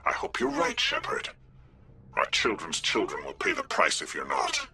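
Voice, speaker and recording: An actor playing an alarmed, indignant alien official in a video game, accusing and grave in manner. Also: male voice, low-pitched, wildly swooping pitch, normal speed, gravelly, full character voice. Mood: grave warning. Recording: music behind the voice.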